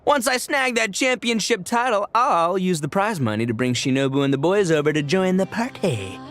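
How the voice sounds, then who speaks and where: A man voicing a brash, boastful male gang leader in a game, loud and swaggering with slangy talk. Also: high voice, lively intonation, moderate pace. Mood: cocky. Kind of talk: game cutscene voice acting. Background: game music.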